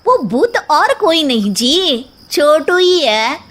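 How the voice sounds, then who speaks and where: A woman acts out a cheeky young daughter-in-law for a family comedy story. Her voice is high and swoops expressively, delivered slowly and deliberately. She talks bossy, slangy and street-smart. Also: sing-song, a bit bright, full character voice. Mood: triumphant. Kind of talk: animated Hindi story voice acting.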